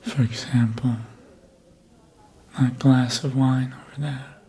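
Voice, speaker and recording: An actor playing a seductive, manipulative vampire in an ASMR roleplay, speaking in a breathy, often whispered voice with a deliberate, hypnotic manner, close to the mic. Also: medium pitch, little pitch movement, warm tone, full character voice. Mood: smug, hypnotic. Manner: slow, deliberate, hypnotic, with long pauses. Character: seductive vampire, manipulative mind-controller, calm and menacing. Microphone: close ASMR microphone.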